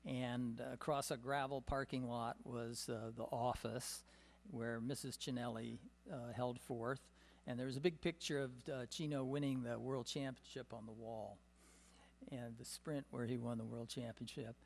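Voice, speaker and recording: A man's voice: mid-range voice, fairly even intonation, moderate pace, gravelly. An older man at a symposium table telling rambling stories of his racing past, with frequent "uh" and "um" fillers and small chuckles, speaking into a desk microphone in a meeting room. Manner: storytelling with many "uh" and "um" fillers, chuckling. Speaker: symposium panelist. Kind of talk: rambling personal reminiscence. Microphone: desk microphone on a stand.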